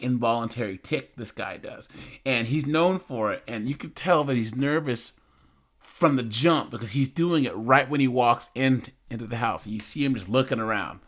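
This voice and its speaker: Guy doing casual reaction commentary, repeats himself, little stutter when he gets worked up. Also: medium pitch. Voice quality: occasional stutter when excited.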